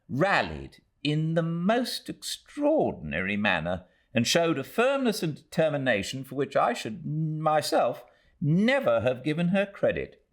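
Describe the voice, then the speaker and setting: A man with a British accent reading a classic novel aloud as an audiobook narrator, in a measured, expressive storytelling manner. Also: mid-range voice. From Britain.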